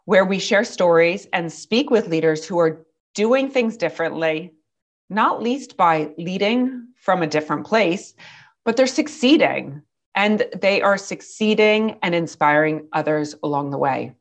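A woman with a slightly raspy voice, hosting a podcast and introducing a guest in a calm, measured way. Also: on the low side. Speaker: podcast host. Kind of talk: scripted intro then interview.

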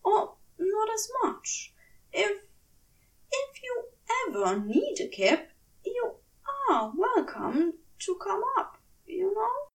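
A woman voicing a fussy, flustered male angel, prim, polite and hesitant, with breathy flutters. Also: very high-pitched, sing-song, slow pace, recorded from a distance, voice pushed up, heavily acted, rough recording. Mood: flustered, shy.

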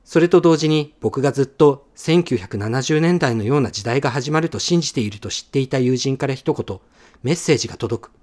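A man's voice: mid-range voice, fairly quick, darkish tone. A man narrating a scripted first-person story in a radio drama style.

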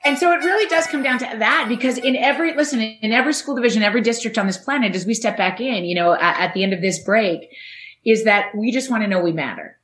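A woman's voice: fairly high pitch, quick pace, fairly bright tone, faint music behind. A woman psychologist giving earnest, emphatic motivational talk as an interview guest.

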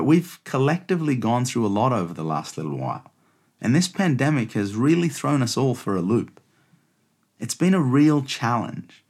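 Earnest Australian man, lowish voice. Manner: earnest, reflective, tags lines with "right?". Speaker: guest host. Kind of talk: podcast monologue.

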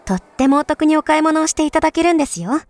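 A woman acting an overly polite, persuasive female shop clerk in a voice drama, speaking in smooth honorific sales talk. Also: high-pitched, lively intonation, fast, darkish tone. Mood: polite, welcoming.

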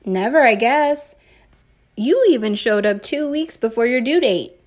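An affectionate mother of a teenager, read by a woman from a storybook. She has a somewhat high voice and teases gently, leaning on her words with emphasis. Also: lively intonation, a little slow.

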